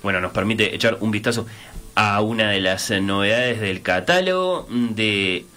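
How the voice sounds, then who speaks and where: A man's voice: fairly low pitch, measured pace, bright, forceful tone. A man hosting a radio interview, hesitant with many fillers and restarts. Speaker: radio host.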